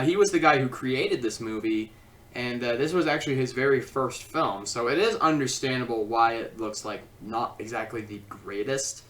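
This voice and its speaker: A film reviewer giving an informal critique in a halting way, often repeating and rephrasing, recorded at a distance from the microphone. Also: mid-range voice, fairly bright tone. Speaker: video reviewer and host. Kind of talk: informal scripted film review with ad-libbed asides.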